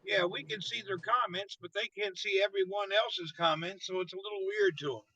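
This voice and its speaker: Bright, husky Tennessee host. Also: male voice, high-pitched, fairly quick. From Tennessee, USA. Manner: upbeat, greets viewers by name. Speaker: live-stream show host. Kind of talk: casual live-stream hosting. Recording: dull lo-fi stream audio.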